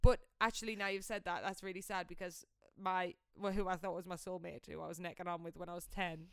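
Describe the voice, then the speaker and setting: A young woman telling a story in casual podcast chat, animated, blunt and salty-tongued. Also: fairly low pitch, brisk, creaky, clear, resonant tone, dry recording, studio quality. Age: young adult. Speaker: podcast co-host.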